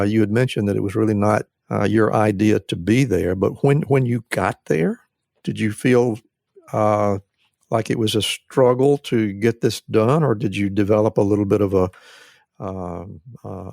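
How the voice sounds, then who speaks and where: A middle-aged man hosting a podcast interview, asking questions slowly and haltingly with many pauses. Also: fairly low pitch, steady tone, vocal fry, darkish tone, no room sound, studio quality. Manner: hesitant, full of "uh" fillers and restarts. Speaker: podcast host.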